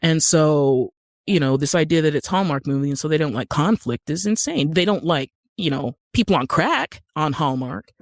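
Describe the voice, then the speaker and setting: A woman's voice: deep voice, animated, normal speed, dry recording, clean studio sound. Casting: American woman, podcast guest type, conversational, emphatic with wry humor, very little room sound. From Utah, United States. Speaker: guest actor and screenwriter. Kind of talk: casual podcast interview.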